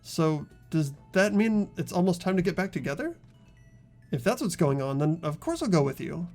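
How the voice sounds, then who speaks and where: Man acting polite teenage boy game hero, lifted pitch, earnest, a bit unsure, music behind. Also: sing-song, moderate pace, darkish tone. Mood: agreeable.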